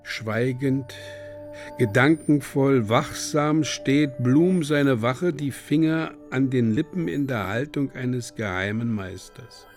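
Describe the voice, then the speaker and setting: A man plays a grave narrator of a literary radio drama. He sets out dreamlike stage directions slowly and with measured care, at a middle pitch, over music. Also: natural voice. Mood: hushed, solemn. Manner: slow, deliberate, measured.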